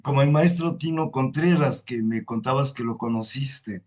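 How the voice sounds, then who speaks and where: A man's voice: medium pitch, darkish tone. Hesitant, wordy man hosting a chat. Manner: hesitant and wordy, many drawn-out fillers. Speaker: host. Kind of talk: conversational interview on a video call.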